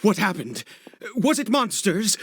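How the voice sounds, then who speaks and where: An actor playing a desperate, obsessive father in a video game, speaking in a halting, stammering, nervous mutter. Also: high voice, sing-song, a little hiss or hum, full character voice. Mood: relieved, nervous. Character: desperate, obsessive father brewing medicine for his son. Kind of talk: dramatic video game voice acting. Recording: dialogue over background music.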